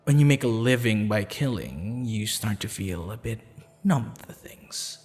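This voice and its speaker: A man playing a courteous, flirtatious assassin in an intimate ASMR roleplay, smitten and slightly sheepish, speaking softly and formally with hesitant pauses. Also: on the low side, expressive. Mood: calm, confessional. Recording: long reverb, music behind.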